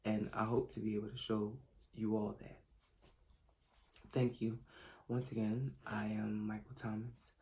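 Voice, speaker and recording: A man in his late twenties from Philadelphia makes an earnest audition pitch as a fashion designer. His voice is low and mellow and stays quite flat. He hesitates often, stumbling through fillers and restarts like a shy, reserved speaker. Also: a little slow, pauses often. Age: late 20s. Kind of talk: self-recorded audition pitch.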